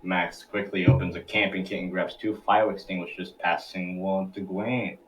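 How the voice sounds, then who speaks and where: A man's voice: low voice, steady tone, normal speed, distant mic, a little hiss or hum, low-quality recording. A man reading scripted narration for a table read, now and then stumbling over his words.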